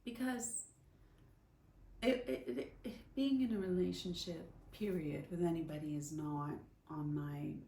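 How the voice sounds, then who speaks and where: A woman's voice: deep voice, unhurried, dark tone. A woman in her forties telling a personal story in a candid, conversational, emphatic way. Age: 40s. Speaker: storyteller, vlogger. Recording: roomy echo, faint background noise.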